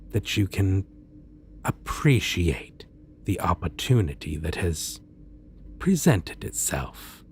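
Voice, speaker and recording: Man playing a slow, courteous, slyly menacing supervillain. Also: low-pitched, a little hiss or hum, full character voice. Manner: smooth-talking, deliberate pauses, theatrically polite. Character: suave male supervillain interviewing a minion, courteous, slyly menacing. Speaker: roleplay voice performer. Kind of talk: ASMR roleplay, scripted monologue.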